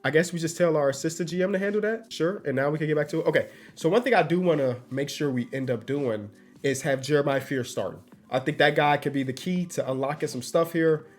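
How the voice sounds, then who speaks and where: A man's voice: fairly high pitch, quick pace, soft background music. A congested man giving casual, slangy commentary while playing a basketball video game. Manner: loose, slangy and upbeat. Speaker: gaming YouTuber.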